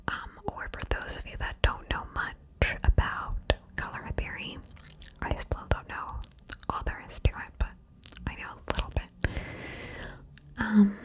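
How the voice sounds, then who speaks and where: A woman whispering slowly and softly, coaxing and encouraging the listener through a word-game ASMR session. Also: mid-range voice. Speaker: ASMR host guiding the listener.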